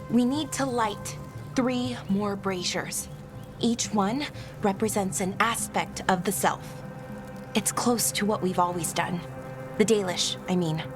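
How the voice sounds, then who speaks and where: A woman's voice: mid-range voice, lightly acted. A woman acting a hesitant, grieving young elf, music behind. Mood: solemn, grateful. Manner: rambling, hesitant, self-correcting. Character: grieving young elf woman, earnest, scholarly, unsure of herself. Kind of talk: video game dialogue acting. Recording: clear game music behind the voice. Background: game music.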